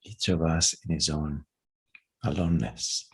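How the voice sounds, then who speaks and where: A man leading a guided meditation in a soft, breathy, hushed voice, unhurried and leaving long pauses. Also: a bass voice, steady tone.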